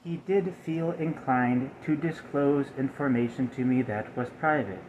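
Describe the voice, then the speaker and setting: A man voicing a stiff, aggrieved, malfunctioning robot in a soft-spoken ASMR roleplay, with formal, halting, over-precise phrasing. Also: mid-range voice, phone-quality sound, slight background noise, natural voice.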